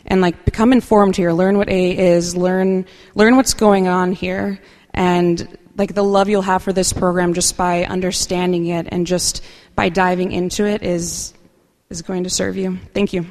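A woman speaking softly and unhurriedly at a recovery meeting, sharing her own experience with many fillers. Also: fairly low pitch, little pitch movement, normal speed, big-room sound. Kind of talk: personal share at a meeting.

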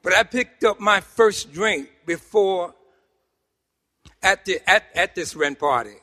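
A middle-aged or older man with a New York accent, giving an animated, humorous personal testimony to a group, with emphatic pauses and repeated phrases. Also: sits high. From Harlem, New York City, United States. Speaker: speaker at a recovery meeting. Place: meeting hall, speaking to a group. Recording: echoey hall with long reverb.

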